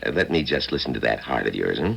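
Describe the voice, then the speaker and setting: Folksy old-radio small town doctor, really low creaky voice, easygoing and wry. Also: male voice, sing-song, fast talker, a bit bright, heavily acted. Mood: kindly, reassuring. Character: folksy, good-humored small-town male family doctor. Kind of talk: 1970s radio drama acting. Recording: 1970s radio broadcast recording.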